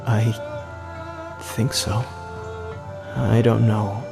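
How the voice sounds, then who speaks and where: A man playing a troubled young mutant turtle in a comic audio drama, halting and vulnerable in his delivery. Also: lowish voice, level tone, creaky, over music, exaggerated voice. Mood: confused, hesitant.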